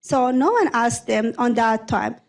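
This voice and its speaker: A young adult woman from Afghanistan answers questions on a discussion panel. Her voice sits somewhat high, and her phrases come in halting bursts full of restarts and repeated words. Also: expressive. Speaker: panel guest, former prosecutor.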